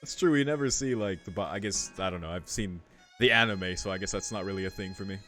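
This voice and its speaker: A man's voice: deep voice, fast, pushed and bright, faint music behind. A man giving casual, laid-back commentary as he plays through a game, murmuring short reactions. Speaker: video game streamer.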